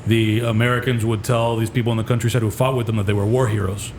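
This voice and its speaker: A man co-hosting a casual podcast chat, explaining things in an unhurried way with hedging asides. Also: on the low side, little pitch movement, a little mellow. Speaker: podcast co-host. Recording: echoey room with clear background noise.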